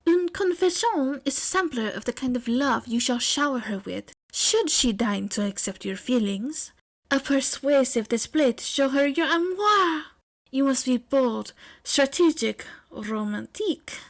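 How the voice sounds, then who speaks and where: A woman's voice: high voice, expressive, pitched above the performer's own voice, exaggerated voice. A woman voicing a flamboyant teenage boy, a self-styled love expert, theatrical and grandiose. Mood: grandly instructing. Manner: theatrical, grandiose, drawn-out flourishes.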